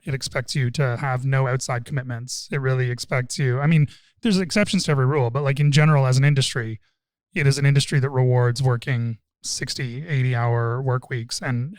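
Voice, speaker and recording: Wry relaxed man from Toronto. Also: mid-range voice, no room sound. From Toronto suburbs, Canada. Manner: relaxed, restarts and "like", wry humor. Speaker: guest. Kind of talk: casual interview talk.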